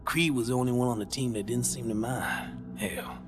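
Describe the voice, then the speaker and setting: A principled mutant ex-soldier played by a man in a video game cutscene. His voice is mid-pitched and conversational with a wry edge, set against prominent music. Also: normal speed, natural voice. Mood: grave.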